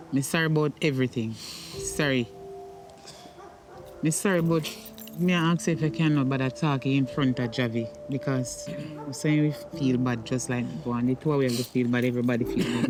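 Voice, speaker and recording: A man's voice: mid-range voice, background noise, lightly acted. A man with a Jamaican accent playing a brazen middle-aged mother in a domestic drama, insistent and repeating the same phrases. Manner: repetitive, insistent.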